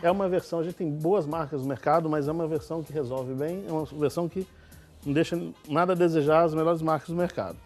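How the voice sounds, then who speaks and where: A man's voice: a little high, quick pace, faint music behind. Brazilian man chef cooking on TV. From Minas Gerais, Brazil. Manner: relaxed, explaining step by step with small restarts. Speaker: guest chef. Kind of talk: cooking demonstration. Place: TV studio kitchen.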